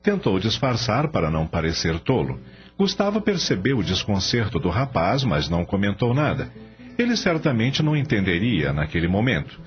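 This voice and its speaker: A man's voice: lowish voice, very animated, normal speed, faint music behind. A man narrating a scripted radio drama as a storyteller. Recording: dull audio with faint hum.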